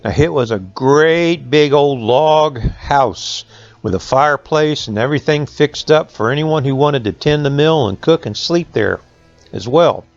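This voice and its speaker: A man with a Southern Appalachian American accent telling stories to children in a folksy, conversational way with dramatic pauses. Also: fairly high pitch, creaky, dry recording, muffled recording. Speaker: school resource officer reading folk tales to students.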